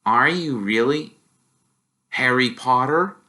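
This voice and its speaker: A man's voice: medium pitch, slow pace, sharp tone, voice pushed up. A man voicing a shy, curious young boy in a storybook, hesitant yet eager and blurting.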